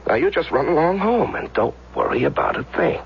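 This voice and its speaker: An actor playing a gentle, resigned dying man in a 1970s radio drama, halting and soothing as he consoles a friend. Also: on the high side, sing-song, gravelly, a little hiss or hum. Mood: resigned, reassuring. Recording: dull, faintly humming vintage radio recording.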